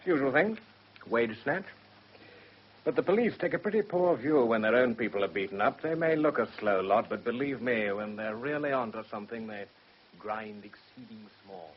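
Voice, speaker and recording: A man's voice: mid-range voice, animated, normal speed, slight background noise, lightly acted. A man acting a wry, self-deprecating young barrister with a British accent in a radio drama. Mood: dry, wry. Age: young adult. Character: wry, discontented young barrister, husband and father. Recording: slightly muffled radio drama recording.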